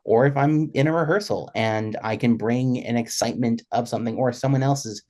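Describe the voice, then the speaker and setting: Male panelist, mid-pitched, casual and reflective, often restarting phrases as he works out a thought. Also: a little mellow. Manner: halting, repeats words while thinking. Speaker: panelist who presents music.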